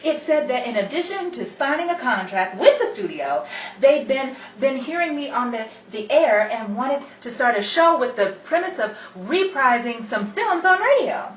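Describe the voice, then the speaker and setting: A woman's voice: high-pitched, lively intonation, normal speed. A woman playing a sassy, hard-drinking, wisecracking dame in a 1930s-style radio comedy. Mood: brisk, pleased. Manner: wisecracking, theatrical. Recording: roomy, distant-mic recording with faint noise.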